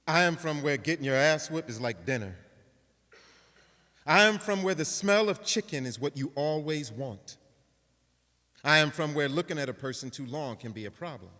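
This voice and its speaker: A man's voice: on the high side, expressive, moderate pace, pushed and bright. A man giving a dramatic reading of a poem as an actor. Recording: reverberant hall recording.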